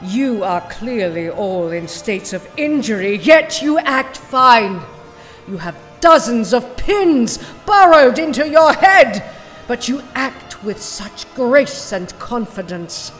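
A woman's voice: on the high side, expressive, slow pace, fairly bright tone. A woman playing a haughty, scornful renegade scientist in an audio drama, imperious and precise. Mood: fascinated, haughty. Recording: long reverb, faint music behind.

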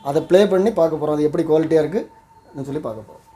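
A man's voice: on the high side, racing, warm tone. A man with an Indian Tamil accent hosting and explaining in a vlog style. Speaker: host.

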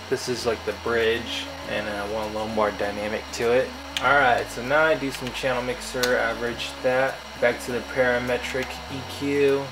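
A young man with a bassy voice explains his home music setup in a loose, slangy, self-deprecating way. He is recorded on a desk camera mic in a small room, and a steady air-conditioner hum sits behind him. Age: young adult. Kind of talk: casual screen tutorial.

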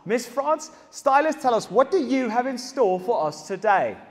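A South African comedian in his thirties, talking in a high and very lively voice. He acts out characters into a handheld mic. A long theatre reverb and a faint crowd sit around him. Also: normal speed, slight background noise. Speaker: stand-up comedian. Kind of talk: stand-up comedy. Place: large theatre stage. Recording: live hall with audience.

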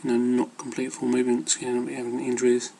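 A man's voice: medium pitch, completely flat delivery, quick pace, mellow, a little hiss or hum, poor audio. A man giving casual, rambling advice as an amateur fitness vlogger, hesitant and loosely worded.

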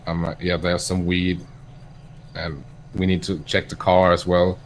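A man's voice: deep voice, little pitch movement, unhurried, slight background noise. A man chatting casually in character as a police officer in an online role-play game.

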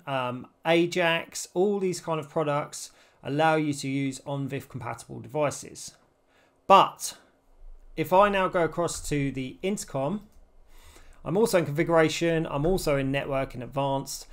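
Higher-pitched man presenting a tutorial. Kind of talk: casual step-by-step screen tutorial.

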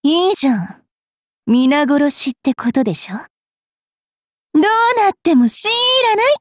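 A woman playing a haughty, cruel young fairy priestess in an anime game, sneering and taunting with a rough mouth. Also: high voice, very animated, unhurried, dry recording, clean studio sound. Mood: gleeful battle taunts.

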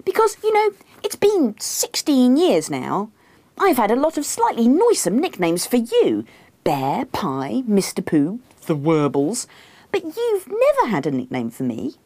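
A woman's voice: fairly high pitch, huge pitch swings, unhurried, darkish tone, no room sound, voice pushed up, full character voice. A woman voicing a needy, insistent wife, pleading and indignant, in a comic book reading.

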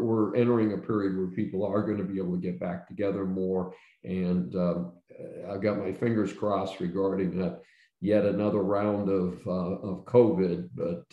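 A man's voice: sits low, dark tone, lo-fi sound. Older man hosting with a roomy echo. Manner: rambling, many "uh" fillers and restarts. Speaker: host. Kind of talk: casual panel discussion. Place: home study with bookshelves. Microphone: video call webcam.

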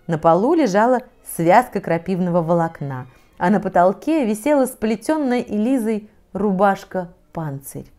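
A middle-aged woman reading a fairy tale aloud to children in a cozy studio, dramatic with wide swings in pitch and loudness. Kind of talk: expressive storybook reading for children. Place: cozy indoor studio set with armchair and fireplace.

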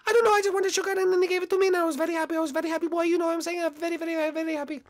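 A man voicing a hyperactive young male koopa in a very high, squeaky, rapid voice. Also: level tone. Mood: hyper.

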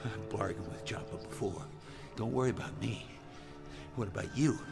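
An actor playing a cocky, wisecracking smuggler who is temporarily blind, sarcastic and blustering, in a radio drama. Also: male voice, lowish voice, normal speed, creaky, over loud music. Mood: taunting. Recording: loud film score behind dialogue.

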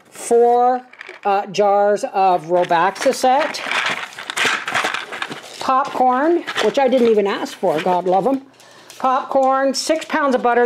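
Slow-talking, folksy older woman. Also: mid-range voice, darkish tone, a little hiss or hum. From Canada. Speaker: homesteading vlogger and host. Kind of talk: casual vlog narration. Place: home kitchen, indoors.

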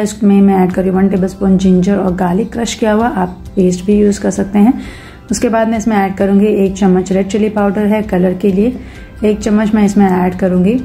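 A woman's voice: mid-range voice, mellow. A woman hosting her own cooking channel, talking through a recipe step by step in a casual voice-over and mixing English cooking terms into her sentences.

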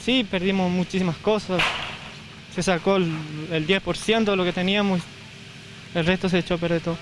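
A man's voice: high voice, steady tone. A young man interviewed outdoors at the site of his burned home, speaking hesitantly with many restarts into a handheld mic. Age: young adult. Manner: hesitant, halting, repeats words. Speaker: homeowner interviewed after fire. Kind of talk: on-the-spot news interview. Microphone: handheld reporter mic. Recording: field audio with outdoor noise.

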